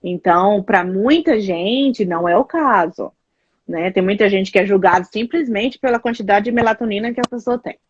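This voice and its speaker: A woman's voice: mid-range voice, moderate pace, husky, no room sound, dull audio. A Brazilian woman with a Brazilian Portuguese accent, chatting casually as a guest, telling stories with lots of fillers. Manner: chatty, animated storytelling with many fillers. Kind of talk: casual chat.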